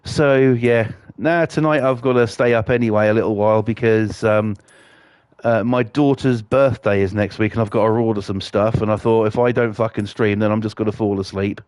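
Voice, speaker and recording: A man's voice: fairly low pitch, fairly even intonation, moderate pace, darkish tone, clear, resonant tone, dry recording. Sweary man with a cold. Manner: casual, sweary, rambling with fillers. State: has a cold. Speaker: streamer. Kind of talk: casual game stream chat.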